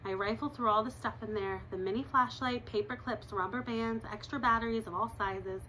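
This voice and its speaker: A woman in her thirties reads a chapter book aloud with a clear, bright tone, lively and expressive as she goes. She stops now and then to chat with her listeners in an easy, conversational way. She is recorded on a camera's built-in mic in a living room at home, with a faint hum of room sound behind her. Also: a little high, brisk, low-quality recording. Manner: expressive read-aloud with chatty asides. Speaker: reader.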